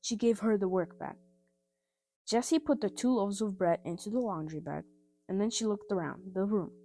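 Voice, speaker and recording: A woman reading a scripted children's story aloud in an expressive, simple style, with music behind her. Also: medium pitch, moderate pace. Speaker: storybook reader.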